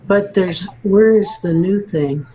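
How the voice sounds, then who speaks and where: A woman with a husky voice, speaking casually and haltingly as she works through a task as a participant. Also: medium pitch, dark tone, slight background noise, rough recording. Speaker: participant in a readers theater recording. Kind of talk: casual, halting troubleshooting talk.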